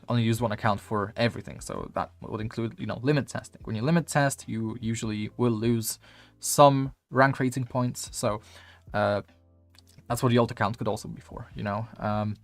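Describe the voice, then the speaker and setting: Rambling Polish-accented game coach. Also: male voice, medium pitch, no echo. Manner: rambling, filler-heavy, casual swearing. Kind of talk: casual gameplay video review.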